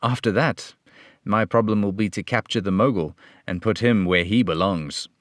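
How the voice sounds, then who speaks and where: A man voicing a firm, upright police detective in an old-time radio play, speaking in a measured, lecturing way. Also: lowish voice, a bit bright, dry recording, studio quality, lightly acted. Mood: reassuring.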